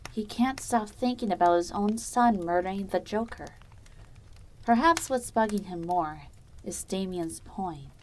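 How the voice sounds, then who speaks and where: A woman's voice: fairly low pitch, a little mellow, a little hiss or hum. A woman reading scripted narration for a dramatic audio story.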